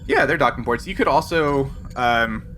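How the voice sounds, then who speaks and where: A man's voice: mid-range voice, lively intonation, normal speed, bright, forceful tone. A man narrating as game master of a tabletop role-playing game in a casual, explanatory, conversational way. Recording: faint background noise.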